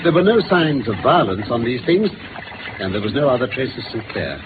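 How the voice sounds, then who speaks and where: A man with a British accent playing a brisk, incisive gentleman detective in a 1950s radio drama, articulate and theatrical as he lays out facts. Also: mid-range voice, sing-song, natural voice. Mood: brisk, matter-of-fact. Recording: noisy, phone-like old radio recording.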